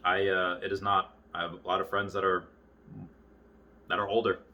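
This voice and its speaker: Bright-voiced low-pitched man, rambling casually. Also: little pitch movement, dull audio. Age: early 30s. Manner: rambling, halting, circles back on thoughts. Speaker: YouTuber. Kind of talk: casual unscripted monologue to viewers.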